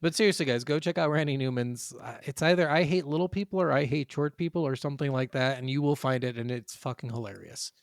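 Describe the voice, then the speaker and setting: A man's voice: mid-range voice, fast talker, gravelly, hardly any pauses, no echo. Joking, profane man podcasting with dry close sound. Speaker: podcast co-host. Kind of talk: casual banter about video games.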